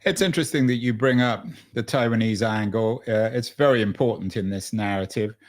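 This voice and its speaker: A male podcast host with a fairly low voice puts long questions to his guest. He gropes through the wording, repeating words and pausing as he goes. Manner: halting, repeats words while framing questions. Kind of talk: interviewer asking questions.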